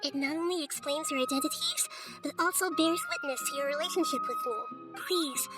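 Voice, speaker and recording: A woman voicing a gentle, wise childlike girl deity with measured, formal, earnest speech in a game cutscene. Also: high voice, wildly swooping pitch, over music. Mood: sincere, grateful. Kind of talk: scripted game cutscene acting.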